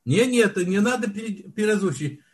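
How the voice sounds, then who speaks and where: An older man, a film director, telling his story in a relaxed interview, often restarting and searching for words. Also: high voice, brisk. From Soviet Union. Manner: reminiscing, with frequent restarts and word searches. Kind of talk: unhurried storytelling in conversation.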